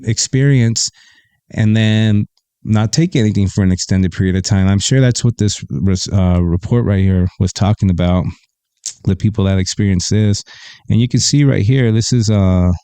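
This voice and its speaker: Deep-voiced laid-back man on a dry mic. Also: normal speed, dark tone, clear, resonant tone, no room sound. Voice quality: deep bassy voice. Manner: laid-back, opinionated, reads aloud from a web page. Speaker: podcast host. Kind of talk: casual livestream talk. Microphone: close desk mic.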